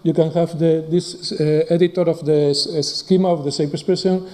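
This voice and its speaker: A man giving a live technical talk, speaking haltingly with many fillers. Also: on the high side, fairly even intonation, dark tone. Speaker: conference presenter.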